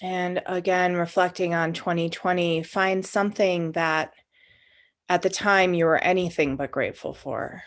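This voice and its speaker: Lady doing guided meditation, really slow and unhurried, lowish voice, kinda conversational. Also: steady tone. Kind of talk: guided meditation, informal spoken.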